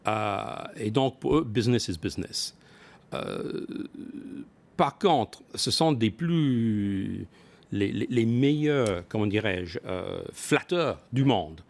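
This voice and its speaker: A man speaking as an expert guest in a broadcast business interview, often pausing to rephrase and repeat his words. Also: on the low side, expressive, vocal fry, a little mellow. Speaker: business expert guest, former company executive.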